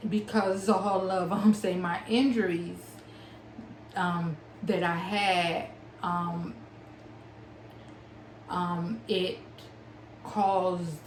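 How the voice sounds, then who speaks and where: A young adult woman talking to her viewers, stuttering with long pauses and blocks and smacking her lips between words. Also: on the low side, roomy sound, a little hiss or hum, low-quality recording. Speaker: small business owner and author. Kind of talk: personal talk to camera.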